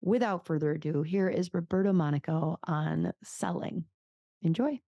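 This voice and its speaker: A woman's voice: fairly low pitch, moderate pace, vocal fry, mellow, highs cut off. A young adult woman hosting her podcast, talking casually with many filler words, restarts and giggles. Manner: chatty, lots of likes and restarts, giggly. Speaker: podcast host, chiropractor.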